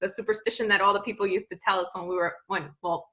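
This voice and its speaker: A woman from Peru, a podcast guest in casual interview chat, talking haltingly with many ums and restarts. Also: mid-range voice, fairly even intonation, sharp tone.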